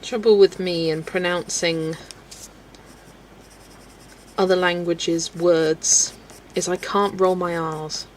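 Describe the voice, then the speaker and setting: A young woman hosting a colouring livestream, chatting casually with viewers, relaxed and laughing often as she works. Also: fairly low pitch, slow pace, pauses often, a little hiss or hum, poor audio. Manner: relaxed and chatty, laughs often, talks to herself while working. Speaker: livestream host. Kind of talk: casual chat with a live chat while colouring.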